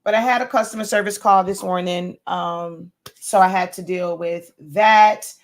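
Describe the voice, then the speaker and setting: A hoarse woman hosts a casual livestream, talking loosely to viewers as they join. Her voice is worn and scratchy, her speech full of fillers and little laughs. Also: lowish voice, fairly even intonation, normal speed. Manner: warm greetings, frequent laughs and fillers. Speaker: host.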